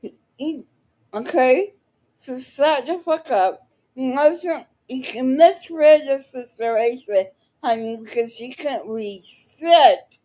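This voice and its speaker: A high-pitched woman delivers unscripted reaction commentary at a slow pace. She is blunt and combative, swears freely, and often breaks off her sentences before starting again. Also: animated, dark tone, poor audio. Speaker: online commentator reacting to another speaker.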